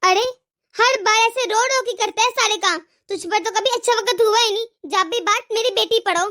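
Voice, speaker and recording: A woman voicing a scolding, sarcastic, demanding mother-in-law in an animated family drama. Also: very high voice, normal speed. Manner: nagging, sarcastic. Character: scolding, demanding mother-in-law, fiercely partial to her daughter.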